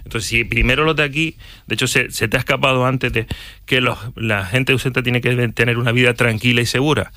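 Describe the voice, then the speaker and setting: A man's voice: mid-range voice, fairly even intonation, a little fast, bright, forceful tone, no room sound, studio quality. A man, arguing his points as a panelist in a televised political debate. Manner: argumentative, reasoned, with self-corrections.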